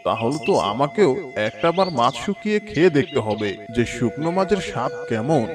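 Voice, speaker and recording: A man's voice: medium pitch, sing-song, brisk, lightly acted. A man voicing a curious, gullible crocodile in a children's cartoon, chatty and full of questions and exclamations. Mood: surprised. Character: curious, gullible male crocodile, eager for tasty food. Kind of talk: Bengali children's cartoon voice acting. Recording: background music with birdsong.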